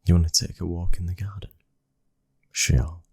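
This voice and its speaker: A man acting as a dominant, devoted mafia boss's son with a British accent. His voice is extremely low and husky, kept to a narrow, restrained melody. He speaks intimately, as in an ASMR boyfriend roleplay. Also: fairly even intonation, moderate pace, darkish tone, dry recording, studio quality, heavily acted. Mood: relaxed, affectionate. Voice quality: breathy, husky. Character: smooth-talking, loving, dominant young mafia boss's son. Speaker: audio roleplay voice actor. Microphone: close microphone.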